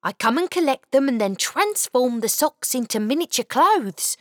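A woman's voice: high-pitched, big pitch swings, normal speed, a bit bright, no echo, studio quality. A woman doing a flustered little elf. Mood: flustered chatty. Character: small, shy, cheerful male elf.

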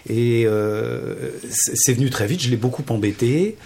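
Man, gravelly voice, husky, stage director on radio, halting, searching for words. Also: mid-range voice, dark tone. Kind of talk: radio interview, explaining.

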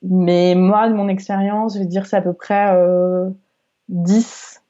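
Young woman, interview guest; halting, restart-prone delivery; warm low-energy timbre. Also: little pitch movement. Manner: hesitant, many fillers and restarts. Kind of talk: casual interview chat. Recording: dull lo-fi recording.

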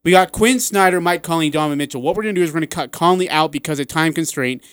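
A male sports radio host with a fairly high, very clear voice talks listeners through the show rundown. The sound is close and dry, with a casual, conversational delivery. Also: fast talker, fairly bright tone, no echo.

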